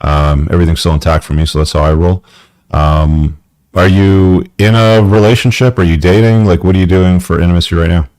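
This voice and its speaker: Deep-voiced blunt male call-in host. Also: steady tone, brisk, a little mellow, no echo, studio quality. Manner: blunt, casual advice with frequent ums. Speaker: podcast host. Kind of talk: live call-in advice show. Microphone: headphones.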